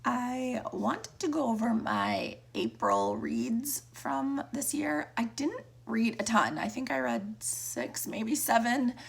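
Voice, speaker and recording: A woman with a deep, cracking voice chatting casually about the books she read, often trailing off and restarting. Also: very animated, slow pace, hardly any pauses. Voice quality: deep, gravelly voice. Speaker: book vlogger. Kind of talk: casual monthly reading wrap-up.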